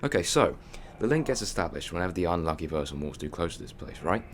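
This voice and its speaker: A man playing a quick-witted, defiant human time traveller in a sci-fi audio drama, sardonic and chatty as he thinks aloud. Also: low-pitched, very animated, slight background noise. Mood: working it out. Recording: music behind the voice.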